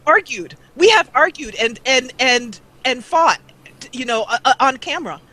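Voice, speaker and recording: Woman guest, bright tone, animated and rambling, live call-in chat. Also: high voice, moderate pace. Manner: animated, laughs often, rambling restarts. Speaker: guest, let's player. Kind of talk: casual live interview call. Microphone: call-in line.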